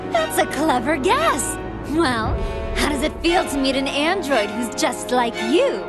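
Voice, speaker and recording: A woman's voice: sits high, sing-song, unhurried. A woman playing a scheming, cruel and haughty female android in an anime game, with a theatrical, taunting delivery. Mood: smug. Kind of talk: dubbed anime game acting. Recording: loud music behind the voice.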